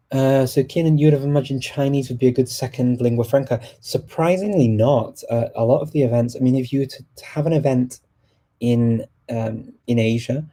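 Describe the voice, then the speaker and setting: Halting, dark-voiced man. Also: medium pitch. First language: English. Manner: halting, often pausing to search for words. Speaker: host of a discussion on multilingual events. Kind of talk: casual live group chat.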